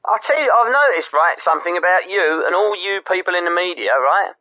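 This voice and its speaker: High-pitched, needling phone-in bloke. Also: male voice, animated, a little fast, dry recording. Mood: insistent, needling. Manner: insistent, chatty, tags phrases with "right". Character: cocksure, needling ordinary-bloke male radio phone-in caller. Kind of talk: spoof radio phone-in character comedy. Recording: dull telephone caller line.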